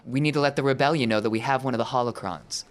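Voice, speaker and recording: A man voicing a weary, determined woman rebel in a tabletop game, speaking urgently and haltingly. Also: medium pitch, natural voice.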